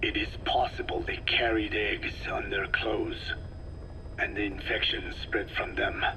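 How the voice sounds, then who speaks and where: A man playing an elderly, grave scientist in a video game, explaining things slowly and formally in a breathy, whispery voice. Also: on the low side. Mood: probing, sober. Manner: slow, deliberate, formal explanations. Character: elderly male scientist, frail, grave and measured. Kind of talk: dramatic video game voice acting. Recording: noisy field tape with steady hum.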